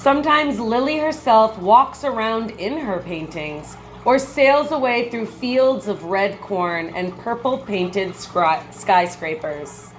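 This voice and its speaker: A woman reading a children's picture book aloud like a teacher, with measured, expressive phrasing and brief comments. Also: a little high, slight background noise, rough recording.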